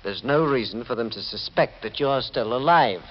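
A man's voice: medium pitch, expressive, normal speed, natural voice. A man acting a scheming, desperate murderer in a 1940s radio mystery, with a dramatic delivery. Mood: calculating. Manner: dramatic, swinging from smooth lying to agitation. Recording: muffled, noisy 1940s radio recording.

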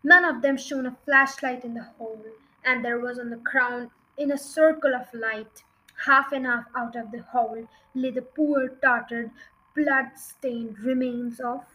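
High, bright-voiced woman reading a story. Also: measured pace, rough recording. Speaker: audiobook reader. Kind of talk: storybook narration read aloud.

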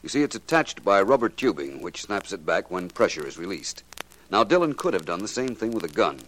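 An actor playing a suave, clever, self-assured amateur detective in a 1940s radio drama. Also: male voice, deep voice, sing-song, fairly quick, fairly bright tone, a little hiss or hum, low-quality recording. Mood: explaining, sly.

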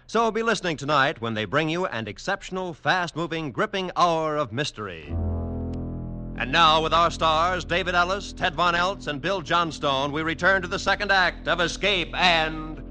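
A man with a fairly high, very sharp and forceful voice announces in classic 1940s radio fashion. He is polished and emphatic, with music playing faintly behind him. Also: expressive, normal speed.

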